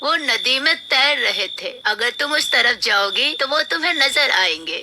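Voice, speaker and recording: A woman's voice: high voice, animated, normal speed, bright, forceful tone, slight background noise, full character voice. A woman playing a kindly, helpful old woman of the forest in a cartoon fairy tale. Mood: calm, helpful.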